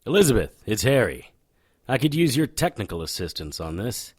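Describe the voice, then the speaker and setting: An actor playing a cocky, sardonic occult investigator in an audio drama, with a wry, taunting delivery. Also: male voice, fairly low pitch, very animated, moderate pace, breathy edge, dry recording, studio quality. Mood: wry, businesslike. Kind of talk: audio drama acting with noir-style narration.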